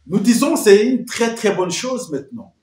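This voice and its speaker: A man's voice: high voice, very animated, normal speed, darkish tone, roomy sound. A man giving emphatic political commentary, full of rhetorical questions and repeated phrases.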